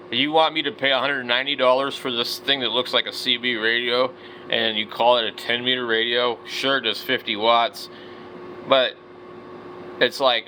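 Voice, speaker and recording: A man's voice: medium pitch, sharp tone, a little hiss or hum, poor audio. A middle-aged man giving casual, unscripted commentary as a hobby vlogger, blunt and opinionated, with some slangy wording.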